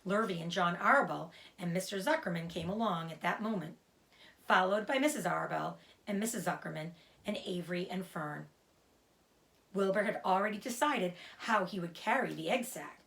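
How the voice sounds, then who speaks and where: A woman reading a children's book aloud as an expressive storyteller. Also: fairly low pitch, normal speed, creaky, rough recording.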